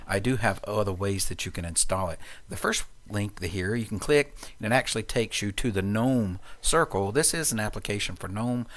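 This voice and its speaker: A man narrating a software tutorial in a casual, plain-spoken way, explaining things step by step. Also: fairly low pitch.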